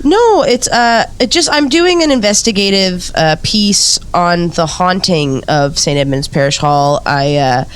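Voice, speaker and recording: Eager female investigative reporter, slightly low voice, friendly, polite, hesitant, natural conversational delivery for audio drama. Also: animated, measured pace, hardly any pauses, clear, resonant tone. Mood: earnest. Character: earnest, polite woman investigative journalist probing a haunting. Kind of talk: naturalistic audio play dialogue.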